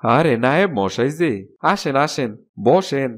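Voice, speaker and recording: A man's voice: medium pitch, animated, measured pace, close to an everyday voice. A man playing a humble, deferential old village father in a cartoon. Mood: eager, grateful. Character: humble, agreeable village farmer, an elderly father. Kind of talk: cartoon fairy tale dialogue. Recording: background music under the voice.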